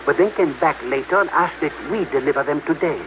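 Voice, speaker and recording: Actor as courteous French shop clerk, tinny radio. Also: male voice, fairly high pitch, quick pace, close to an everyday voice. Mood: politely helpful. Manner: polite, formal, precise. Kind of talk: old-time radio drama acting. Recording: tinny, noisy old radio recording.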